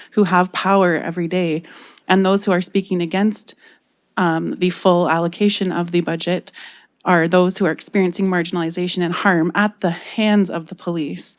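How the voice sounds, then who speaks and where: A woman with a Canadian accent speaking softly and hesitantly into a podium microphone, giving public comment in a council chamber. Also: on the low side. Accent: Canadian English. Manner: soft-spoken, hesitant, earnest. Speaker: public delegate.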